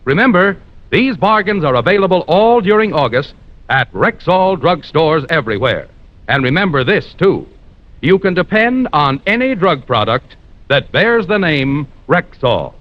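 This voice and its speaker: A male announcer delivers a polished commercial in old-time radio style. His voice is fairly high and swings expressively, with emphatic selling stress. The recording is dull and aged. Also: big pitch swings, measured pace, dry recording.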